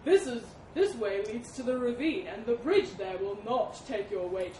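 A woman voicing a jovial, cheeky male boar in an animal fable, in a loud, hearty manner. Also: on the high side, expressive, moderate pace, lightly acted. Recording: roomy, distant mic with background noise.

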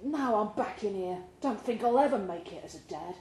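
A woman's voice: mid-range voice, lively intonation, moderate pace, darkish tone, lightly acted. Woman as male London ex-convict, anxious, earnest, colloquial, live storytelling, roomy echo. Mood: pleading, dejected. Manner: colloquial, streetwise. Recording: roomy live pub recording, faint background noise.

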